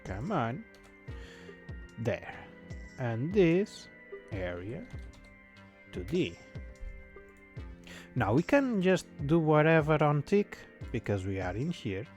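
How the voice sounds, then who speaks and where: A man's voice: on the high side, very animated, slow pace, long pauses, a little mellow, soft background music. A man who is not a native English speaker, talking casually while coding a game on a live stream and muttering his thoughts aloud with many fillers. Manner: thinks aloud, mutters to himself, frequent fillers.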